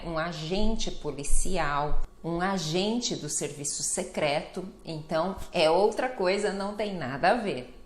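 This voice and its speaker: A woman with a Brazilian Portuguese accent, teaching a lesson at an unhurried pace. She spells things out patiently and drops frequent "né?" checks as she talks. The sound carries noticeable room echo. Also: medium pitch. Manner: slow, careful explanations with frequent "né?" and lively greeting examples. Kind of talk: teaching a language lesson to camera.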